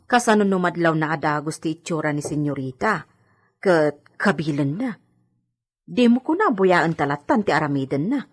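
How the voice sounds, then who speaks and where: A woman's voice: low-pitched, lively intonation, normal speed. A woman playing a fretful household maidservant in a radio drama. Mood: worried.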